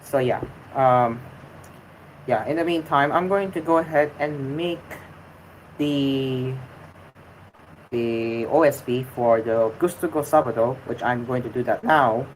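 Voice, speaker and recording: A woman's voice: a bass voice, unhurried, pauses often, a little hiss or hum, low-quality recording. A woman hosting a casual livestream, speaking hesitantly with many fillers and restarts and switching between Tagalog and English, which is her second language after English. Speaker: host of a livestreamed show.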